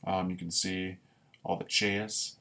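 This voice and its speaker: A man chatting casually as a livestream host, rambling and halting, often correcting himself as he goes. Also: low-pitched, flat delivery, gravelly, bright, forceful tone. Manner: rambling, halting delivery with frequent self-corrections. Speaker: amateur writer.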